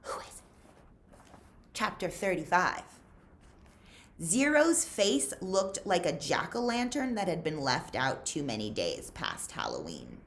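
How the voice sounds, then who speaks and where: A woman reading a story aloud to young listeners in an expressive, deliberate, suspenseful storytelling manner. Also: low voice. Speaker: librarian reading aloud to children. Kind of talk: expressive read-aloud storytelling, pausing to ask listeners questions.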